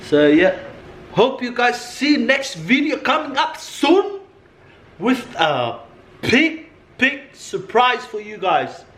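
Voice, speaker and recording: A man's voice: very high-pitched, sing-song, takes it slowly, fairly bright tone. A man with a Samoan accent hosting a casual vlog, playful and talking in loose, broken English. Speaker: vlog host. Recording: echoey room with clear background noise.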